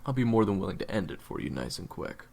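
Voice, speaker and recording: Male actor as cocky young swordsman, crude, theatrical, gravelly, very animated, audio roleplay. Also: low-pitched, quick pace, full character voice. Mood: mocking, cocky. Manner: slangy, sarcastic, theatrical.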